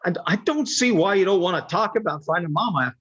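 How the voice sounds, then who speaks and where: Male actor as naive son in radio horror: higher voice, very lively inflection, halting and earnest, devoted to his mother. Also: slightly rough, dull audio, exaggerated voice. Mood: hopeful, wistful. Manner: halting, stammering, earnest, folksy. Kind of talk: old time radio horror acting.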